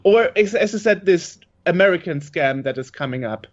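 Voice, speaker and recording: A man with a high voice asks questions as an interviewer. He sounds curious and casual, and his audio is muffled and lo-fi. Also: expressive, moderate pace. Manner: inquisitive, questioning. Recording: dull lo-fi audio.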